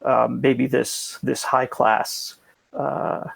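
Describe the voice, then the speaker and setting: A man in casual podcast conversation, explaining things in a rambling, hedging way with a gravelly, husky voice. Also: mid-range voice, flat delivery, extremely slow, dry recording. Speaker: podcast guest explaining esports.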